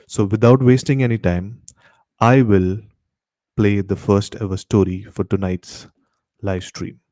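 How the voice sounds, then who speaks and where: Low, mellow-voiced man hosting a horror show. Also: dry recording. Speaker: host of a horror radio show. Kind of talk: radio-style show introduction to a live stream.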